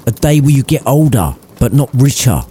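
A grumpy old miser, foul-mouthed and dismissive, spitting curses, as a storyteller puts him on. His voice is mellow but swings widely in pitch as he snarls. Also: sing-song, moderate pace, slight background noise, natural voice. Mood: snarling.

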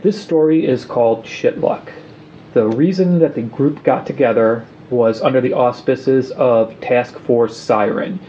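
A man's voice: medium pitch, mellow. A man acting as game master, narrating a recap of a tabletop story. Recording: dull audio with faint noise.

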